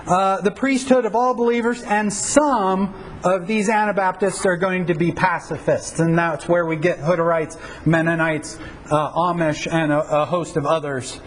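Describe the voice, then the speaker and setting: A pastor teaching church history to a class, in a conversational lecture full of rhetorical questions and fillers. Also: male voice, high voice, steady tone, normal speed, poor audio. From United States.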